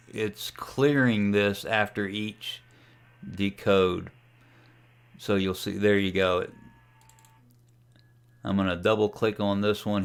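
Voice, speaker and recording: A man giving a casual, folksy tutorial as a ham radio hobbyist, talking through a software demo in an unhurried way. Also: lowish voice, clean tone. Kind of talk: casual screen-share tutorial.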